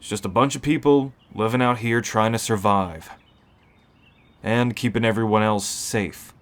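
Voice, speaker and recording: A man playing a weary, protective werewolf who keeps a refuge, speaking in a measured, earnest way for an ASMR roleplay. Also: lowish voice, close to an everyday voice. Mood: earnest, reassuring.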